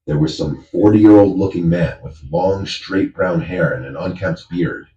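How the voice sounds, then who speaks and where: A man reading a horror story in measured, even scripted narration. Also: deep voice. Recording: dull, roomy, distant audio.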